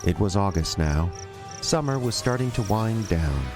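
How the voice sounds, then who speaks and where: A man's voice: fairly low pitch, expressive, normal speed, natural voice. A man acting as a folksy storyteller, narrating an audio drama directly to listeners. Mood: folksy, wistful. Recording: music behind.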